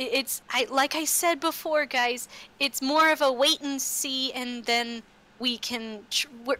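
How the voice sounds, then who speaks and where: A woman running a tabletop roleplaying game as game master, speaking haltingly and often repeating words. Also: a little high, bright, forceful tone.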